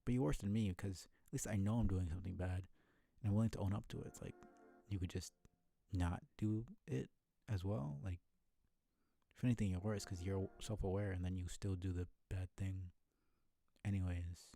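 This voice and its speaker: A man's voice: deep voice, steady tone, normal speed, vocal fry, warm tone. A man streaming a video game with casual, argumentative and sardonic running commentary. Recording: game music behind the voice.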